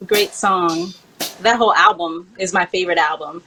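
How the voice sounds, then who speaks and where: A woman's voice: on the low side, normal speed, a crowd in the background, rough recording. A woman hosting a casual livestream chat in an enthusiastic, chatty way. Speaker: host. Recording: clear background room noise.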